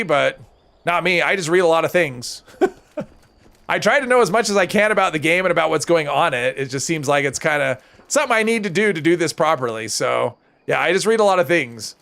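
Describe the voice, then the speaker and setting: A man with a somewhat high voice and a gravelly edge. He chats casually with viewers while playing a game on a live stream, answering their questions as he goes. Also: expressive, brisk, a bit bright. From United States. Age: older than high school, adult.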